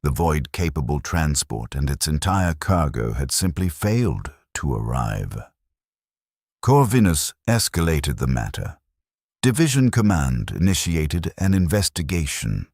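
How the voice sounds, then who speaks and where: A man reading a scripted first-person war story in a measured, solemn way, with short deliberate sentences. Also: a bass voice, very animated, creaky, darkish tone, no echo, clean studio sound. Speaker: narrator of a fictional first-person war account. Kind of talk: scripted cinematic story narration.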